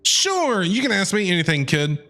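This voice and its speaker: A woman's voice: medium pitch, big pitch swings, moderate pace. A woman streaming a game, giving casual, chatty, reactive commentary as she plays. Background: game music.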